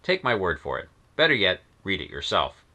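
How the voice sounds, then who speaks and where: A man's voice: deep voice, wildly swooping pitch, brisk, sharp tone. A man reading a scripted review as host, with a theatrical, emphatic delivery. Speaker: reviewer and host reading his own column. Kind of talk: scripted commentary on science fiction magazines.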